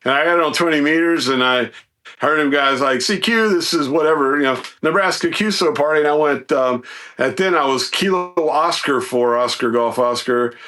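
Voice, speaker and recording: Bright-voiced man telling rambling, folksy stories. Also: a little high, moderate pace. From United States, Southeastern Virginia. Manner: folksy, rambling, animated storytelling. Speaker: podcast guest, radio hobbyist. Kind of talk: casual chat, anecdotes about a hobby.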